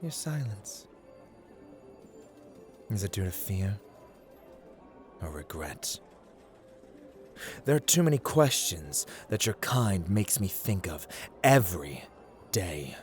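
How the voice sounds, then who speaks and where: Casting: male actor for a playful, condescending gambling god in audio roleplay; low voice, unhurried and dramatic, dipping into whispers. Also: very animated, music in the background, exaggerated voice. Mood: ominous, hushed. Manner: theatrical, teasing, formal, condescending, drifting into whispers. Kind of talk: ASMR roleplay, scripted dramatic monologue.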